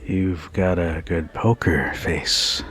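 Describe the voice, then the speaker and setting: A man playing a confident, protective bartender in an ASMR roleplay, teasing and flirty in an intimate, unhurried manner. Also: deep voice, steady tone, a faint crowd. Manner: teasing, unhurried, with soft chuckles and pauses. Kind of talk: ASMR roleplay, intimate second-person monologue.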